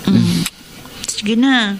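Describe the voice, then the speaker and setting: A woman's voice: medium pitch, very slow, creaky, soft background music. A Filipino woman acting in a radio drama as a young college student, evasive and playfully exasperated with her boyfriend. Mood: playfully reluctant. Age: young adult.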